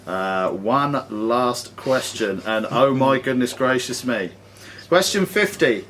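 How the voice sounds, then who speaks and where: A man hosts a quiz with an animated, swooping delivery. He reads questions in a measured, formal way and drops in jokey asides. The live room recording has a light layer of noise. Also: mid-range voice. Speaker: quizmaster. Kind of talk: reading quiz questions aloud to players. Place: sports and social club room.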